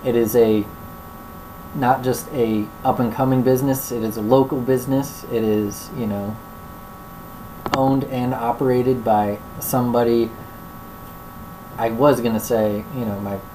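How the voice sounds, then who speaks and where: A woman's voice: rumbling low, steady tone, unhurried, pauses often, background noise. A woman from Florida hosting a casual interview, easygoing and enthusiastic, with slangy talk. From Florida, USA. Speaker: interviewer, host.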